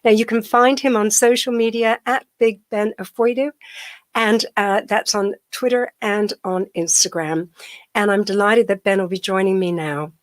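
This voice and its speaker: A woman's voice: medium pitch, steady tone, moderate pace, no room sound. A middle-aged woman with a heavy cold, hosting a chatty radio interview and laughing often. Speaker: radio show host.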